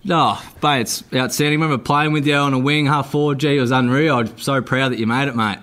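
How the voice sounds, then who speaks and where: A man's voice: medium pitch, fairly even intonation, quick pace, fairly bright tone, natural voice. An Australian man playing a chummy, gushing old junior football teammate, matey and nosy on a prank phone call.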